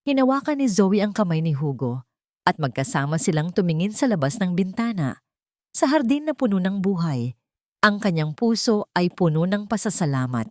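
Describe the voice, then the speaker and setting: Female storyteller, lower register, sentimental measured romance narration, dry sound. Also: big pitch swings, dry recording.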